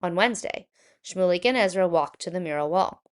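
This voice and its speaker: A woman narrating a children's picture book aloud in an expressive, animated storytelling manner. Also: on the low side, brisk, clear, resonant tone.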